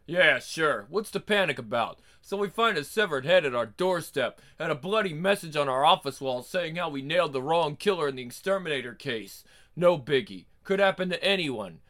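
A man performs a brash police detective from a comic book. The voice is bright and forceful, swinging widely in pitch, with a sardonic, wisecracking delivery and a crude, blustering temper. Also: animated, moderate pace. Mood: sarcastic, exasperated. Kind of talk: comic book dramatic reading.

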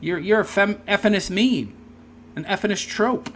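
A man's voice: high-pitched, fairly quick, fairly bright tone. A man reading a text aloud and tearing into it with sarcastic, scornful commentary. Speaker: commentary video host.

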